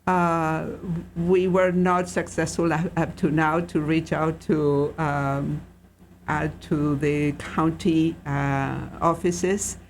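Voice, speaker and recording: A man's voice: fairly high pitch, flat delivery, slow, a little hiss or hum. Argentine man with a slightly raised voice. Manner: careful, frequent uh fillers. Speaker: guest. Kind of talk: interview answers.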